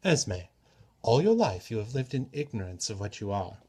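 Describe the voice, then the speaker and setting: A man voicing a roguish, grand trickster god from a storybook, with a formal, measured and persuasive manner. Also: on the low side, big pitch swings, mellow, breathy edge. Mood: earnest. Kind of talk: storybook character reading.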